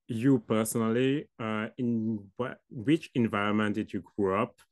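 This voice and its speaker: A young man puts questions to his guest in a fairly low voice. He is halting, breaking off words and rephrasing as he asks. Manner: halting, loosely worded questions. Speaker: student interviewer. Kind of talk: interview questions.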